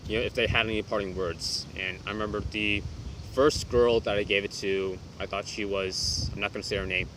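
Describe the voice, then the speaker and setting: A young man who teaches English, talking through a reflective vlog in a quiet, reserved way with hesitant restarts. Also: lowish voice, background noise.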